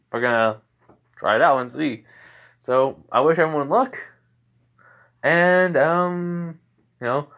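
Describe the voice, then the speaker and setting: A man's voice: fairly high pitch, fairly bright tone, lo-fi sound, voice pushed up. A man playing a cheerful, cocky young superhero student giving a breezy, hesitant speech in a fan story. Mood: cheerfully confident. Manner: breezy, friendly boasting with hesitations.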